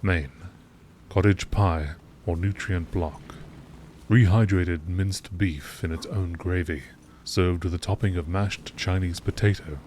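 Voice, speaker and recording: Male narrator, bass register, for measured documentary-style readings of scripted logs; deliberate phrasing, slight noise in the recording. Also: a little mellow. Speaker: narrator reading out video game text logs.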